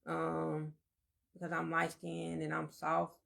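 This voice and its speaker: Soft-spoken woman with gravelly fry. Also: low-pitched, monotone, takes it slowly. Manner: meandering, reflective storytelling with many fillers. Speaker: co-host of a live chat. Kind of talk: casual live-stream chat.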